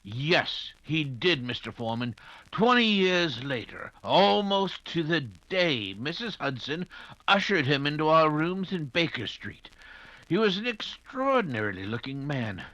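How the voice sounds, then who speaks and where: An actor playing a genial, flustered English doctor who tells stories hesitantly in the manner of 1940s radio drama. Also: male voice, on the high side, very animated, unhurried, sharp tone, dry recording, exaggerated voice, low-quality recording. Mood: dramatic storytelling. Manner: hesitant, fussy, avuncular. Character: genial, flustered English doctor narrating his detective friend's adventures.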